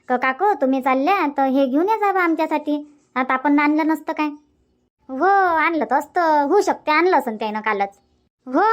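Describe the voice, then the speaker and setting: Woman acting as cartoon village mother, high-pitched, dry sound, Varhadi Marathi, chatty, colloquial. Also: no echo. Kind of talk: cartoon comedy dubbing, everyday family chat.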